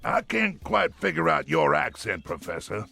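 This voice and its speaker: A man playing a bossy, blustering older army colonel with a gruff, folksy way of talking. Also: on the high side, huge pitch swings, normal speed, pushed and bright, soft background music, exaggerated voice. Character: blustering older male army colonel, cigar-loving commander. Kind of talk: video game voice acting.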